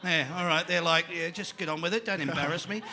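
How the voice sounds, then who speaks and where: A man with an Australian accent hosting a live panel show, energetic and jokey, calling out to a crowd in the venue. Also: a little high, fast talker.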